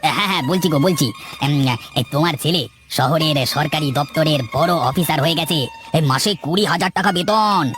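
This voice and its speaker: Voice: mid-range voice, a little fast, hardly any pauses, natural voice. Touchy, bantering village man voiced by an actor, music behind. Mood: teasing. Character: touchy village messenger, proud of his long name. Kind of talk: Bengali cartoon story dialogue. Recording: loud music under the voice.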